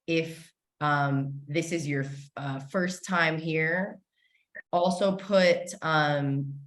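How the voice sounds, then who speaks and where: A woman's voice: deep voice, a bit bright. A woman hosting a live online summit in a chatty, gushing, informal way. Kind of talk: casual live interview hosting. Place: indoors, online video call.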